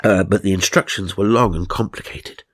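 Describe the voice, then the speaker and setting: A man's voice: low voice, fairly even intonation, normal speed, fairly bright tone, no echo, clean studio sound. A British man reading silly stories aloud as narrator, with mock-serious, sardonic asides. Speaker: narrator and host.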